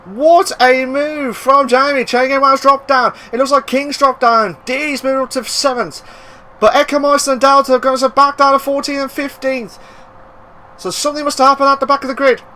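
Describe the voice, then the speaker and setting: A man giving live racing commentary, excitable and bursting into loud exclamations at the action. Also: extremely high pitch, normal speed, slight background noise. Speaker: race commentator.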